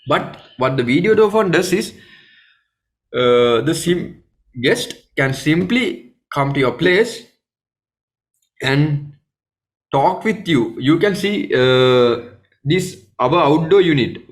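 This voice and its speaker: A man with a Sri Lankan accent giving a live webinar training as a technical trainer, explaining step by step in halting, repetitive phrases. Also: mid-range voice.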